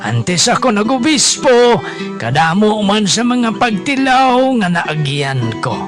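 A man voicing an elderly, fatherly bishop in a Hiligaynon Filipino radio drama, advising in a slow, emphatic manner. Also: sits high, very animated, voice pushed up, exaggerated voice. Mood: earnest counsel. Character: elderly male bishop, fatherly, counselling a priest. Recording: music under the voice.

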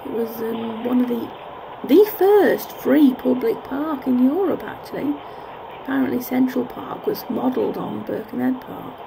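A woman's voice: fairly high pitch, normal speed. A woman giving a casual, musing narration of a park walk, correcting herself as she goes, recorded outdoors on a handheld camera. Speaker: vlogger. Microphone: handheld camera's built-in mic. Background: clear park ambience noise.

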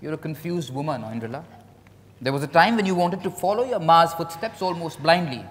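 Male actor as Indian writer, stage drama reading, composed and blunt, animated pitch, reverberant ballroom. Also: a little fast, slight background noise. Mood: critical, stern. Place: large hotel ballroom stage. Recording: echoey hall, stage mic from afar.

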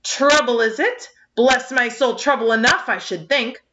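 An irate older farmer, short and stout, who splutters and blusters in a raised, strained voice that climbs well above the reader's own, as a woman puts him on for a storybook reading. The sound is bright and edgy, with a slightly dull, lo-fi quality to the recording. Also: extremely high pitch, wildly swooping pitch, slow, voice pushed up, exaggerated voice. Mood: furious.

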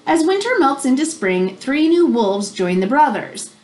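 A woman in her thirties reads to children in a fairly high, very animated voice. Her delivery is teacherly, moving between storytelling and explanatory asides. It is a home recording in a small room, with some background noise. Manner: expressive, teacherly, pausing to explain words. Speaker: children's picture book reader.

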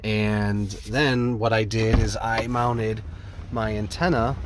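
A man's voice: on the low side, level tone, slow. Man chatting over background noise. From American Midwest. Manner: informal, rambling explanations. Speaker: vlogger. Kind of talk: casual vlog chat to camera. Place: inside a parked van. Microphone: phone or camera at arm's length. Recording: clear background noise, vehicle ambience.

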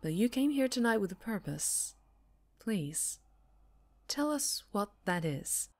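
A woman playing a composed TV talk-show host in an audio roleplay, speaking in a measured, polished, formal way. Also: medium pitch, sing-song, a little mellow, exaggerated voice. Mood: poised, presenting. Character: poised female TV talk-show host, composed under threat.

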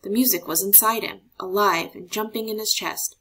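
A woman reads a children's story aloud. Her delivery is expressive, in an average-pitched voice with a clearly creaky edge. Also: moderate pace, rough recording. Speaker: storybook reader.

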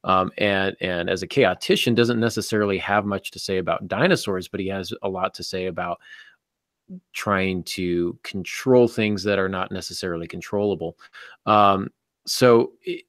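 A middle-aged man hosting a casual discussion about writing, explaining things in a measured way. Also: sits low, steady tone, a bit bright, no room sound, studio quality. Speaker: podcast host and novelist. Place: indoor office, on a video call.

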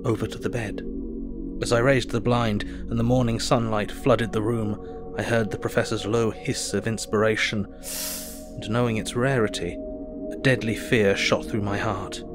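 A man acting an earnest asylum doctor who reads his diary aloud in an audio drama. The voice is low, solemn and measured, with clear music underneath. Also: little pitch movement, close to an everyday voice. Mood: dread. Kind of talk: first-person dramatic audio narration.

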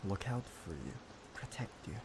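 A man voicing an obsessive, tender young yandere in an intimate, halting, hushed ASMR roleplay. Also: fairly low pitch, sing-song, pitched below the performer's own voice. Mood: tender, devoted. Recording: clear background noise.

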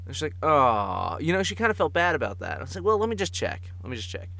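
A man co-hosting a podcast in casual comic chat, telling stories in an animated way and chuckling as he talks. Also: fairly high pitch, fast, vocal fry. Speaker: podcast co-host.

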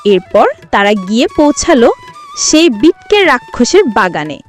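A woman's voice: on the high side, big pitch swings, unhurried, faint music behind. A woman, narrating a scripted fairy tale for children as a storyteller.

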